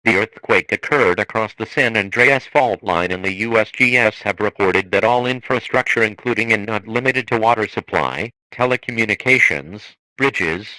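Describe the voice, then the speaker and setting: A man reading a scripted emergency broadcast in a formal, measured manner. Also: fairly low pitch, pushed and bright, no echo, poor audio. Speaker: emergency alert announcer.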